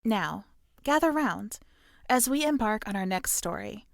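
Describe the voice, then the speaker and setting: A woman's voice: medium pitch, expressive, measured pace, vocal fry. A woman voice actor reading a scripted, formal podcast introduction.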